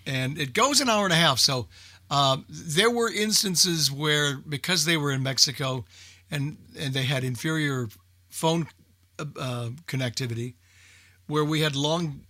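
A man hosting a casual, unscripted radio talk show, speaking in a halting way and repeating words as he thinks. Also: a little high, sharp tone, no echo.

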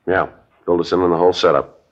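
Deadpan fast-talking American police detective. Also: male voice, sits low. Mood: curt, firm. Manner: deadpan, matter-of-fact, clipped. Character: terse, businesslike male police detective who narrates his case. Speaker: radio drama actor, narrator. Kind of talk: 1950s radio crime drama acting and clipped narration. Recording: dull old radio broadcast recording.

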